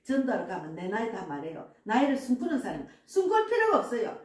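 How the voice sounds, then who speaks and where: A woman's voice: on the high side, wildly swooping pitch, fast talker. A woman in her sixties, a pastor, preaching a sermon earnestly and emphatically, with frequent affirming asides. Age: 60s. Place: church sanctuary. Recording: echoey church room, distant mic, faint music.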